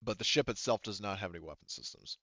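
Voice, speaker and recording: A man running a tabletop game as game master, narrating casually and haltingly as he improvises. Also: fairly low pitch, lively intonation, pushed and bright, dry recording, lo-fi sound.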